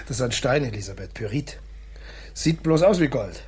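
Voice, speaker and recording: An actor playing an affectionate, patient middle-aged father of young girls in a children's radio play, with a husky voice. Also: medium pitch, lively intonation, normal speed. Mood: affectionate, soft. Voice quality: husky, rough.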